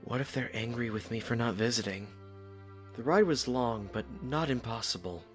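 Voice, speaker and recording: A man's voice: medium pitch, normal speed, faint music behind, natural voice. Man playing a wry, modest young swordsman. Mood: anxious. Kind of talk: audio drama acting.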